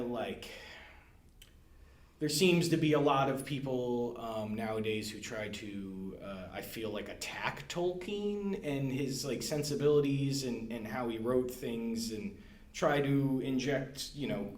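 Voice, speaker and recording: Casual male guest, midrange voice, halting with fillers, echoey room. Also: medium pitch. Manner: hesitant, many fillers and restarts. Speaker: guest, library staff. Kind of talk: casual podcast discussion. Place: room at a library.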